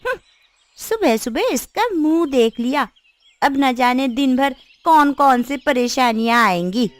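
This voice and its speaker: A woman voicing chatty, teasing village women in a cartoon story, with exaggerated, expressive delivery. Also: high-pitched, a little slow, a little hiss or hum. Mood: scornful. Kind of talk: cartoon moral story dialogue.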